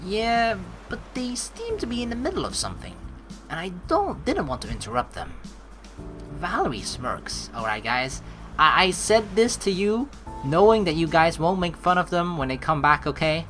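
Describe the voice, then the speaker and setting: A woman's voice: on the low side, big pitch swings, normal speed, music in the background. A woman doing chatty let's play commentary, reading game lines aloud expressively. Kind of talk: casual gameplay commentary and script reading.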